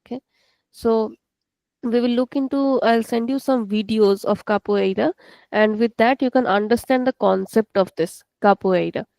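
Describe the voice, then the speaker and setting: A woman's voice: a little high, moderate pace, vocal fry, a little mellow, no room sound. A woman with an Indian English accent teaching an online language class, explaining patiently and repeating each point step by step.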